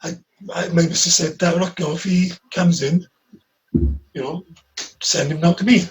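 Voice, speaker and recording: Man, podcast co-host, slightly raised pitch, rambling casual talk. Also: fairly even intonation, moderate pace. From Wales. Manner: rambling, reads listener questions.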